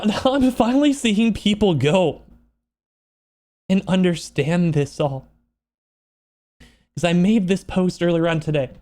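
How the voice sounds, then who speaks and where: A man speaking off the cuff in a personal vlog, upset and close to crying, halting and repeating himself earnestly. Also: fairly high pitch, darkish tone. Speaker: streamer and video creator. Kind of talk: unscripted personal vlog monologue.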